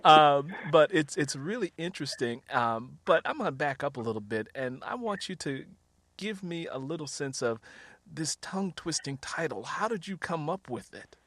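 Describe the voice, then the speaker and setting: A man hosting a conversational author interview, talking in an animated way. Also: fairly high pitch, normal speed, no room sound. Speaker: interview show host and novelist.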